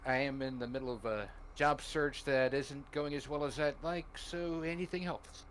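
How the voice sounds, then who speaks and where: A man's voice: medium pitch, steady tone, normal speed, a little hiss or hum. A man speaking casually as a guest on a livestream. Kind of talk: casual chat.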